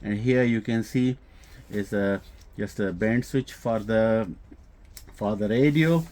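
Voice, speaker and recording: Low-voiced, halting man, non-native English speaker. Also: darkish tone. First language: not English. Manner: hesitant, halting phrasing with frequent filler sounds. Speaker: host of a hobby audio equipment channel. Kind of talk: casual product showcase vlog.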